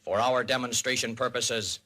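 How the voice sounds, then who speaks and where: An American man narrating a 1950s radio civil defense documentary in a grave, emphatic, deliberate manner. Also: mid-range voice, fairly even intonation, pushed and bright. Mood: grave, urgent. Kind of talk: 1950s radio documentary narration, dramatic public-service announcing. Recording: vintage 1950s radio recording.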